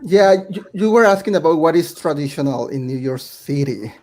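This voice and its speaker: A fairly high-voiced man from Mexico speaks English on a video call. His manner is earnest and halting, and he often restarts his sentences. He talks as a panelist in a casual discussion. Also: lively intonation, darkish tone. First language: Spanish. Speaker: panelist, theatre creator.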